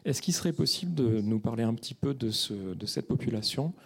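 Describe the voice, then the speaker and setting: A man's voice: medium pitch, animated, creaky, breathy edge. A man moderating a literary panel discussion, asking long, careful questions with frequent hesitations. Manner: measured, hesitant with many fillers.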